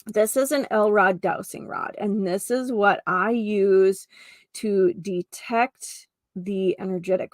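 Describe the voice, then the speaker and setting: A woman hosting a spiritual healing show, explaining casually and earnestly to camera with informal asides, her voice creaky with vocal fry. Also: mid-range voice, no echo, clean studio sound. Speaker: show host and energy healer.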